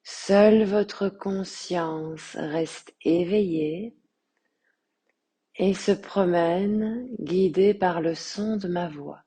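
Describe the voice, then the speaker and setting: Very slow, husky woman guiding meditation. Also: on the low side. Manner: calm, soothing, measured with long pauses. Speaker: yoga teacher guiding relaxation. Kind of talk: guided meditation.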